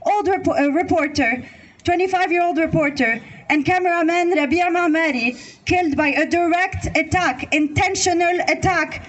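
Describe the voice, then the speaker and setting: A woman's voice: very high-pitched, moderate pace, poor audio. A woman from Lebanon giving a prepared speech at an outdoor rally, listing points one after another in an earnest way. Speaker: rally speaker. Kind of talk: prepared speech read to a crowd. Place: outdoor public square.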